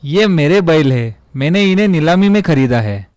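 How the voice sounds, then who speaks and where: A man playing a greedy, threatening cattle buyer who blusters loudly in a dramatized children's moral story. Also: on the high side, expressive, moderate pace, faint music behind, natural voice. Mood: indignant, threatening.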